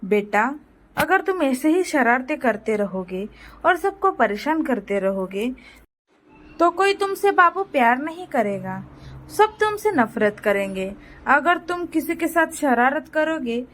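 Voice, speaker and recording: A woman's voice: high voice, sing-song, unhurried, a bit bright, exaggerated voice. A woman voicing a worried, pleading old village grandfather in a moral cartoon story, lamenting and repeating himself. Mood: admonishing.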